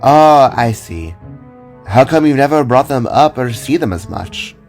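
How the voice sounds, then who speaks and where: A man's voice: medium pitch, expressive, measured pace, darkish tone, voice pushed up. A man voicing a cool young female fox with a dry, unfazed manner in an audio drama, over music. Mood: curious. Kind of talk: audio drama character acting.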